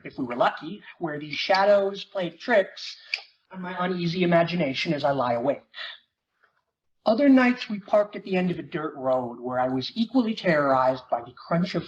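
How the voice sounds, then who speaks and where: A man giving a dramatic first-person reading of a novel at a live event. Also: sits high. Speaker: performer reading aloud. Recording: muffled, noisy live event recording.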